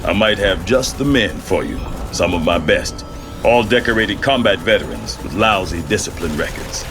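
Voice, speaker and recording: An actor playing a gruff, battle-worn older American general in a video game, with blunt folksy military delivery. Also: male voice, sits low, lively intonation, moderate pace. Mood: firm. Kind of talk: dramatic video game acting. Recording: dramatic music behind.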